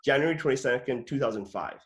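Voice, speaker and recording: A man's voice: medium pitch, animated, fast, noisy background. Chatty enthusiastic man in an echoey room. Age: late 30s. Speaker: host, actor.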